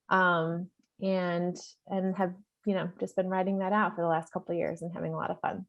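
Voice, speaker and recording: A woman with a lowish voice introduces herself on a webinar panel over a video call. She sounds chatty and enthusiastic, moving easily from point to point. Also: darkish tone. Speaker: HR partner, panelist.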